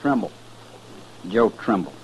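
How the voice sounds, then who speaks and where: A man's voice: fairly low pitch, animated, measured pace, a little mellow, breathy edge, exaggerated voice. A man acting a defiant, evasive young drifter accused of murder in a 1950s western radio drama, surly and clipped. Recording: very muffled, thin old radio recording.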